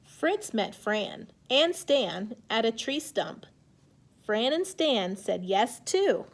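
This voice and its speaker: A woman's voice: fairly high pitch, very animated, takes it slowly. A woman speaking as an elementary teacher to young children in a read-aloud lesson, instructing in simple phrases and asking questions.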